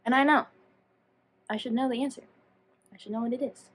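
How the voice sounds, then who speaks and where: A woman's voice: fairly high pitch, steady tone, fairly quick, a little hiss or hum. A woman chatting casually to viewers as a book vlogger, rambling and self-deprecating as she goes off on tangents. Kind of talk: casual chatty video talk.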